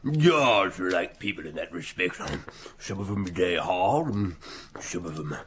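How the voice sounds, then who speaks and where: An actor playing a fat, self-satisfied, callous shopkeeper who talks pompously and repeats himself, in a radio drama. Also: male voice, low-pitched, animated, unhurried, a bit bright. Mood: smug.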